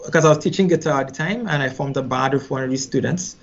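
A middle-aged man from Trinidad and Tobago with a Trinidadian accent, telling his story in a casual interview, unhurried and reflective, often repeating words as he thinks. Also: medium pitch, gravelly. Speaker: musician guest. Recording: slightly dull lo-fi sound.

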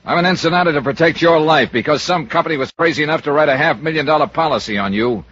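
A man's voice: fairly high pitch, fast talker, a bit bright, slight background noise, lightly acted. A man acting a tough, cynical American insurance investigator in 1950s radio style, clipped and sardonic. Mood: sardonic, irritated. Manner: clipped, sardonic, hard-boiled. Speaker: lead actor and narrator. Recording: muffled old radio recording with music.

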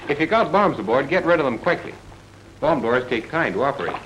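A man's voice: mid-range voice, very animated, a little fast, a bit bright. A man acting as an easygoing wartime pilot, informal and matter-of-fact, in a 1940s instructional film. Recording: very noisy 1940s film soundtrack.

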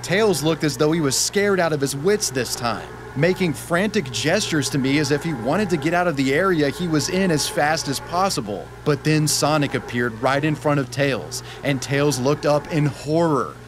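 A man's voice: fairly high pitch, lively intonation, normal speed, hardly any pauses, noisy background. Man dramatically reading a horror story. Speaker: guest narrator reading a story. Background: background music.

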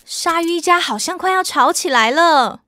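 A woman's voice: extremely high pitch, lively intonation, normal speed, bright, forceful tone, a little hiss or hum, full character voice. A woman playing a friendly storyteller, narrating a children's cartoon with a Taiwanese Mandarin accent. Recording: children's music behind.

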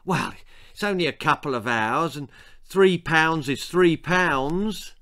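A man voicing a poor, good-hearted schoolboy in a storybook, halting and eager to reassure. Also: a little high, bright, forceful tone, pitched above the performer's own voice, heavily acted. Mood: earnest, reassuring.

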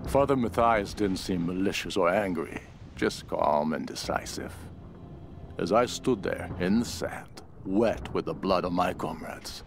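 Male shipwreck survivor turned follower, game journal. Deepish, grim, unhurried delivery over clear music. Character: grim, awed castaway turned devoted cult follower. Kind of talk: dramatic journal narration. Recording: music behind the voice.

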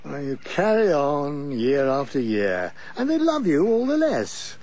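Husky, wry older English husband. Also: male voice, a little high, very animated, measured pace, a little mellow. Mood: wry, resigned. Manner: wry, swearing, old-fashioned upper-middle-class turns of phrase. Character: gruff, weary, blunt older husband and father. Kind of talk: radio drama acting.

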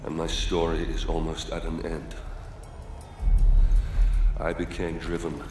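A vengeful Roman general speaks in a very low, flat, solemn voice. His delivery is slow and brooding. Echoing hall reverb surrounds him. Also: music in the background. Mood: grim. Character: grim vengeful Roman general, middle-aged. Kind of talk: video game cutscene narration.

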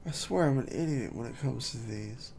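A man's voice: mid-range voice, normal speed, gravelly, darkish tone, slight background noise. A young man giving casual, puzzled gameplay commentary, muttering to himself and poking fun at himself. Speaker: gameplay streamer.

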